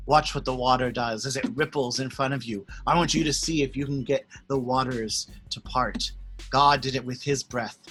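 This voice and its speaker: A priest telling a Bible story to children in an expressive, friendly way, addressing them as friends. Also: male voice, medium pitch, poor audio.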